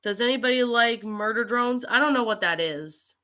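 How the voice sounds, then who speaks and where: A woman with a cold, chatting casually as a livestream host and answering viewers in a halting way. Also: fairly high pitch, bright, forceful tone. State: getting over a cold with allergies. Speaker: livestream host and creepypasta narrator.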